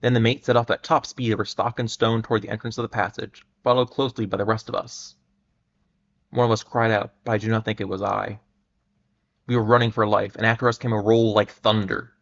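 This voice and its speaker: A man hosting a livestream and reading aloud, haltingly, often stumbling over and repeating his words. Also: lowish voice, little pitch movement, highs cut off. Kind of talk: dramatic reading and casual livestream chat.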